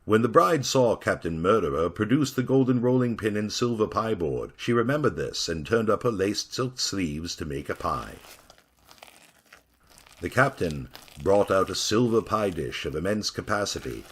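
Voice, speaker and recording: A man reads a grim old tale aloud in a theatrical storytelling style. His voice is very low and husky, and it rises and falls with lively drama. He savours the gruesome turns with dark relish. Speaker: narrator.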